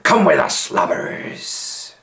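A male narrator playing a dirty old pirate henchman who talks slow and menacing. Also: fairly bright tone.

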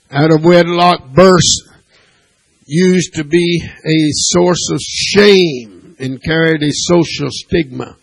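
Male preacher in a church, slow and deliberate, gravelly husky voice, keeps tossing in amen. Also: on the high side, poor audio. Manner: preaching with frequent "amen" and call-and-response questions; reads scripture aloud in King James wording. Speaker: pastor. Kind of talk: sermon. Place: church sanctuary.

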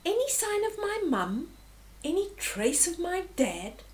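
A woman's voice: extremely high pitch, very animated, measured pace, darkish tone, voice pushed up, heavily acted. A woman voicing a small, timid and naive young male warthog in a children's story, earnest and plaintive. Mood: anxious, innocent.

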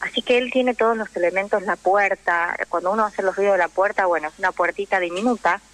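A woman with an Argentine Rioplatense accent, explaining things in an animated, conversational way as a guest in a radio interview. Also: medium pitch, quick pace, no echo, poor audio. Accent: Argentine Rioplatense Spanish. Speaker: interview guest, actress and theatre teacher.

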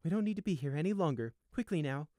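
A man's voice: on the high side, lively intonation, quick pace, warm tone, dry recording, studio quality, voice pushed up, lightly acted. A man doing an anxious mother sheep. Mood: urgent. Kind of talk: audiobook character voice.

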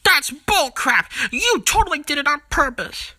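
Performer doing a sarcastic game sidekick, very sharp bright tone, lively pitch, melodramatic, loyal brother. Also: measured pace, no room sound, studio quality, full character voice. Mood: defensive. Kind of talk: amateur comedic game parody voice acting.

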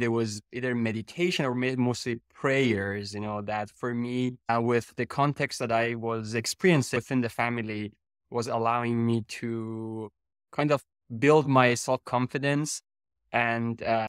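A man in his thirties from Iran, raised in Canada, talking as a guest researcher over a video call from a home office, speaking haltingly with many fillers. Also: mid-range voice, clean tone, no room sound, studio quality. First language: Persian. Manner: hesitant, searching for words with many fillers. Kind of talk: relaxed video-call interview.

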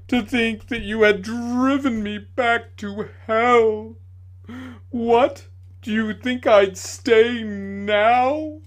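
A man reading aloud voices a bitter, theatrical male ghost who declaims slowly and loudly with self-pity. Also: high voice, voice pushed up. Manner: loud, slow, melodramatic declaiming.

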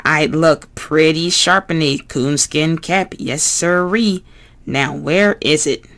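Reader doing a serious slow puppet guy, kinda lecturing, a bit above the narration voice. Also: mid-range voice, a bit bright. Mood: proud. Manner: earnest, deliberate, lecturing. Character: serious, earnest puppet man. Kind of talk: storybook read-aloud.

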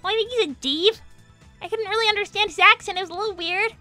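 Squeaky, girlish cartoon hedgehog. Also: fast, sharp tone, soft background music. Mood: puzzled. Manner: girlish, earnest, insistent. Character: sweet, lovestruck young female pink cartoon hedgehog, insistent. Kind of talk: improvised in-character livestream Q&A.

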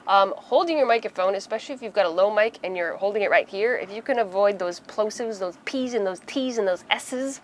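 A woman in her thirties talks through a practical tutorial in a casual, conversational way. Her voice sits at an average female pitch. She is recorded in a small boat cabin, with noticeable ambient noise behind her. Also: fairly quick, few pauses. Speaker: host of a sailing how-to video.

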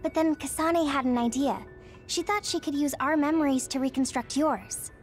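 A woman's voice: high-pitched, normal speed, natural voice. A young woman voicing an emotional, caring teenage girl in an anime game. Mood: anxious, explaining. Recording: music behind voice.

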